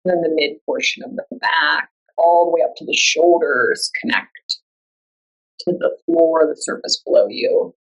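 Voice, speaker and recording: A woman guiding a meditation in a calm, soothing, unhurried way, leaving long pauses between instructions. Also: low-pitched, poor audio. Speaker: yoga nidra meditation guide. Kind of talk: guided meditation.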